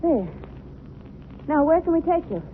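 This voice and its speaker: A breezy radio-play heroine who is quick to exclaim and alarm. Her pitch moves around a lot in familiar, chatty phrases. The 1940s recording is tinny and plainly noisy. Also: sing-song. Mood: helpful, puzzled. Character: Margot Lane, lovely companion of a crime fighter, chatty and easily alarmed.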